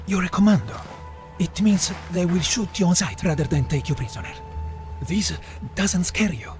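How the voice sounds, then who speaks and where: A man acting an ailing partisan guide in a video game cutscene, speaking gravely and haltingly. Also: sits high, expressive, darkish tone, faint music behind. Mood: somber. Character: ailing Italian male partisan, world-weary guide.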